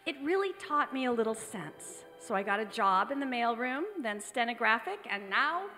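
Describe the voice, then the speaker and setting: Sincere young gal in an old radio romance, high voice, echoey hall. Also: female voice, expressive, normal speed, sharp tone. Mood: light, playful. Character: earnest, candid young woman script reader in love. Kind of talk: old-time radio drama acting on stage. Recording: echoey theater hall, music behind.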